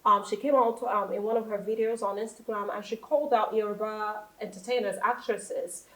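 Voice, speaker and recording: A Nigerian woman hosting a studio talk show, speaking in an animated, questioning way. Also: medium pitch, brisk, echoey room, poor audio.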